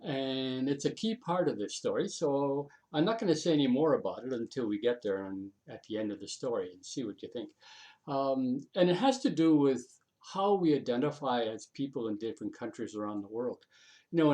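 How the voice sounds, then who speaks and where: Male storyteller. Soft-edged, mellow tone; folksy, halting, unscripted delivery. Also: mid-range voice. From Canada. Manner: halting, folksy, repeats himself.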